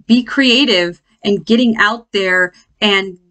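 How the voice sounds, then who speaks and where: Woman doing a casual spiritual self help talk, slow n halting, unscripted, normal pitch. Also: pushed and bright, low-quality recording. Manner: halting, conversational, often checks with "okay?". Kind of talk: informal spiritual lesson, speaking off the cuff.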